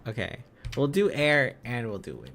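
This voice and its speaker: A man hosting an informal language-learning livestream, thinking aloud in a halting, casual, self-correcting way. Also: medium pitch, wildly swooping pitch, fairly bright tone, slight background noise. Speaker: livestream host learning Korean along with viewers.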